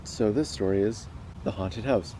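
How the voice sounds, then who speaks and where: Mellow-voiced man reading stories aloud. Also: lowish voice, normal speed, soft background music. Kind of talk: storytelling read aloud with casual chat.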